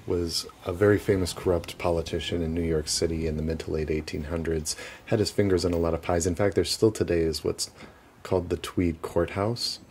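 A man's voice: deep voice, darkish tone, slightly rough. A young man guiding a walking tour outdoors on city streets, chatty and casual, often laughing between points. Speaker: tour guide.

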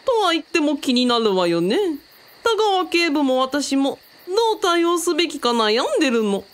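Woman playing a high-voiced, teasing female mentor. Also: big pitch swings, normal speed. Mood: matter-of-fact. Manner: teasing, feminine phrasing. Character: worldly female mentor, teasing and self-assured. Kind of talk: radio drama dialogue.

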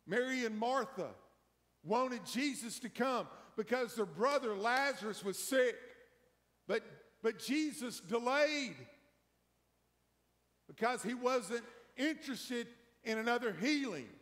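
A middle-aged man preaching as pastor, building emphasis through a slow sermon before a hushed prayer in a large church. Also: very high voice, expressive. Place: large church sanctuary, empty pews. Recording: long church hall reverb.

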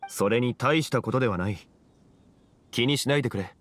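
An actor playing a calm, dignified gentleman in an anime game, speaking formally and in measured, old-fashioned phrases. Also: medium pitch, in a large hall, slight background noise, close to an everyday voice. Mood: calm, courteous. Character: calm, dignified gentleman, an unhurried scholarly consultant.

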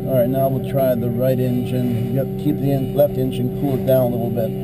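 A man's voice: mid-range voice, little pitch movement, brisk, over loud music, low-quality recording. A man in a small aircraft cockpit giving terse, procedural instructions as a training instructor, picked up by a camera mic. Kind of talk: hands-on procedural cockpit talk. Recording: loud aircraft engine noise over voice. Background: loud running engines.

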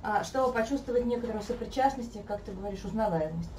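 A woman in her thirties, a guest on a sofa in a living room, talking in a thoughtful, measured way, recorded by a camera across the room. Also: medium pitch, a little mellow. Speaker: guest, fairy-tale therapist. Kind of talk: casual conversation. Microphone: fixed camera across the room. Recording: echoey room, mic at a distance, faint hiss.

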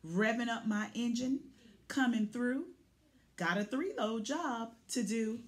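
A woman's voice: fairly high pitch, slow. A woman voicing a boastful, plucky little pickup truck from a children's picture book, punchy and full of vocal sound effects.

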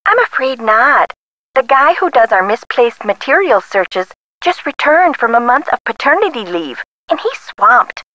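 An actress playing a helpful but bureaucratic records clerk in a video game, with an officious, matter-of-fact phone manner. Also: female voice, fairly high pitch, very animated, unhurried, bright, forceful tone, dry recording, full character voice.

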